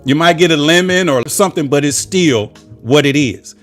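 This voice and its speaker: A man from the United States delivering a fervent, preachy political monologue, stacking up repeated phrases like a sermon. His voice sits a little higher than most men's, with soft music playing faintly underneath. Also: normal speed. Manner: preachy, with rhetorical repetition and emphatic lists. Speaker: political commentary channel host. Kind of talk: impassioned solo political monologue.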